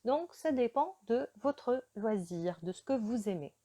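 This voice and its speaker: Slow, mellow teacher. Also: female voice, fairly high pitch, lively intonation. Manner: slow, careful teaching delivery with frequent hesitations. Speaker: French teacher. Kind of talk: slow beginner language lesson.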